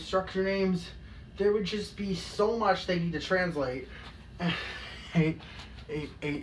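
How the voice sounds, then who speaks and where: A woman's voice: fairly low pitch, far from the mic, slight background noise, low-quality recording. A woman hosting a casual reaction commentary in a mocking tone, often stumbling and repeating words. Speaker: commentary host.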